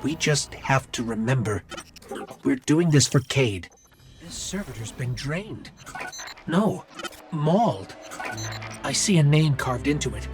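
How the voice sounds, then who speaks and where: Earnest game companion, mid-high voice. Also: male voice. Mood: urgent, then grim resolve. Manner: earnest, measured exposition with urgent warnings. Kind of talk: video game dialogue.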